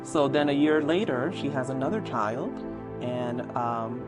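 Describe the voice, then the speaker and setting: A woman in her forties from New York, telling personal stories as an interview guest in an animated way, acting out remembered dialogue. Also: a bass voice, unhurried, over loud music. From Brooklyn, New York, USA. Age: 40s. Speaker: interview guest, lawyer and law professor.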